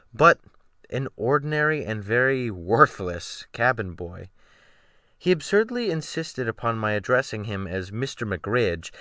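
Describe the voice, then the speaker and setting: A man performs dramatic literary narration at a medium pitch with lively, wide intonation. His phrasing is deliberate and theatrical, recorded close and dry. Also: no echo. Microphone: close USB desktop microphone.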